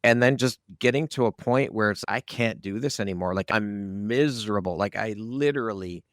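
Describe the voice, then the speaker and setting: A man's voice: on the low side, normal speed, fairly bright tone, no echo, clean studio sound. A man hosting a conversational interview podcast, talking in a candid, informal way. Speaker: podcast host.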